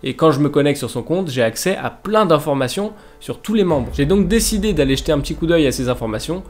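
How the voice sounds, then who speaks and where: A man's voice: fairly high pitch, lively intonation, quick pace. A young man with a French accent, narrating a story to his viewers in a casual, chatty vlog style. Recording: faint music bed behind voice.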